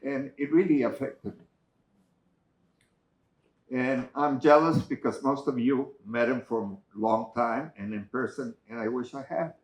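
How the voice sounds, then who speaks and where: A man's voice: medium pitch, measured pace, noisy background. Earnest man with a Mexican accent. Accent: Mexican Spanish. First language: Spanish. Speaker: memorial speaker. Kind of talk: personal remembrance. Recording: echoey room.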